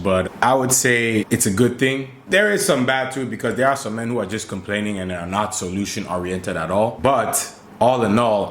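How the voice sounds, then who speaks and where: A young man from Ghana co-hosting a podcast, speaking in a measured way and backing his partner with short agreements. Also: on the low side. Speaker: podcast co-host. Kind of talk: podcast talk. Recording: echoey room with faint noise.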